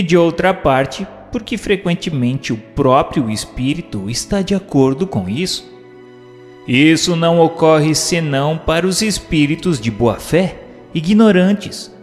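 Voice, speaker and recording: A man with a Brazilian accent playing an earnest student who puts formal, studied questions in a scripted radio drama. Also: fairly high pitch. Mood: explanatory. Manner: formal, inquiring. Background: music.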